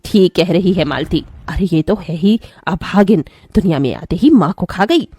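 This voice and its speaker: Woman as a snide, husky gossiping neighbour. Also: lowish voice, expressive, normal speed, mellow, no room sound, clean studio sound, faint music behind. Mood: taunting. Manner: snide, judgmental. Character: gossiping, superstitious, taunting neighbourhood women.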